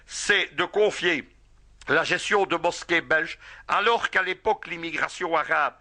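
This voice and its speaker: Man, political commentator, bright, edgy tone, lots of hesitant filler sounds, on location outside. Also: fairly high pitch, measured pace. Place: outdoors by an industrial site.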